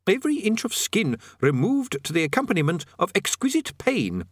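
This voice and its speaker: Man acting a polite, high-voiced elderly prisoner. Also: huge pitch swings, moderate pace, husky, no echo, studio quality, exaggerated voice. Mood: helpful, resigned. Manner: polite, hesitant, quaintly formal. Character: elderly, good-natured, faintly worried male prisoner.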